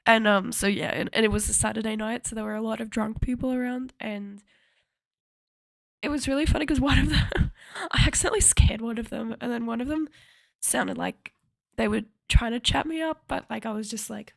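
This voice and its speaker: A young woman vlogging in a chatty, rambling way, with a slightly croaky voice and frequent laughter. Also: fairly high pitch, brisk, no echo, clean studio sound. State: a little croaky. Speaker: cosplay vlogger. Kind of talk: casual chat to camera.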